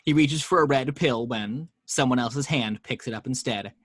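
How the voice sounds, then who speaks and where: A man's voice: mid-range voice, normal speed, husky, dry recording, clean studio sound. A man narrating the action lines at a home table read, with chatty asides to the listeners.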